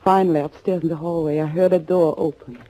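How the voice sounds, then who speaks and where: An actress playing a determined German underground agent in a 1940s radio thriller, speaking in measured, formal phrases. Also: female voice, deep voice, steady tone, warm tone, close to an everyday voice. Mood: grave, suspenseful. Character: German underground woman recounting a vengeance mission, grave and determined. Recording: muffled 1940s radio recording.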